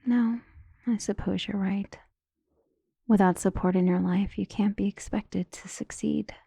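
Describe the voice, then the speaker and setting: A devoted maid voiced by a woman speaks in a hushed, soft and mellow voice with a creaky edge. She is earnest and deferential, confiding like a conspirator in an audio drama. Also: medium pitch, steady tone, a little slow, no echo, clean studio sound. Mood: earnest, supportive. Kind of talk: dramatic audio roleplay acting.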